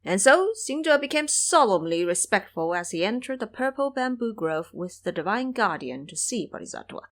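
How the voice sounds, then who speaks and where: A woman's voice: medium pitch, very animated, moderate pace, a bit bright. A woman narrating a fantasy audio drama in a scripted storybook style.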